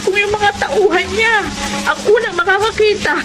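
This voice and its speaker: A woman's voice: very high-pitched, moderate pace, noisy background. A woman playing a middle-aged, worried and prayerful mother in a Tagalog radio drama, with an anxious, pleading manner. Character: worried, prayerful mother fearing for her wayward son.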